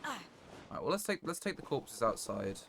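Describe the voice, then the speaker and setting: A man streaming a game live, giving casual commentary in a halting, rambling way as he thinks aloud. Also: a little high, sing-song, gravelly, fairly bright tone. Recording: noticeable background noise.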